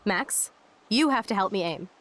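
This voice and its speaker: Woman as brash, animated American punk girl. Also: mid-range voice, moderate pace, lightly acted. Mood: cocky, teasing. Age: young adult. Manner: cocky, slangy, animated. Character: brash, excitable rebellious punk teenage girl. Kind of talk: video game voice acting.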